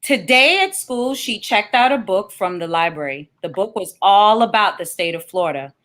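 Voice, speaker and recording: Woman sharing a children's story, mid-pitched. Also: animated, normal speed, a bit bright. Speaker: guest children's author and elementary librarian. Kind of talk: informal introduction and read-aloud for children.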